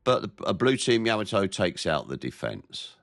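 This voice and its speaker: A very deep-voiced man with a head cold, his voice nasal and stuffy as he narrates a warship game in a measured, plain-spoken way. The sound is close and dry, with no room around it. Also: very animated, a bit bright, no room sound. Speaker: gameplay commentator. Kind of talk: naval game commentary.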